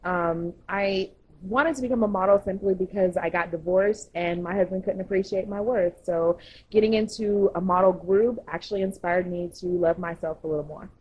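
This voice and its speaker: A woman's voice: fairly low pitch, moderate pace, darkish tone, husky, lo-fi sound. A woman from the United States, a model, answering interview questions frankly and laughing easily. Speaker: guest model.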